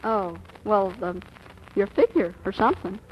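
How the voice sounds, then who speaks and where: A woman's voice: mid-range voice, lively intonation, measured pace, close to an everyday voice. A woman playing a gushing, melodramatic fifteen-year-old girl in love, in 1940s radio acting style. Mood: eager, dreamy. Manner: gushing, breathy hesitations and exclamations. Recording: muffled, noisy vintage radio recording.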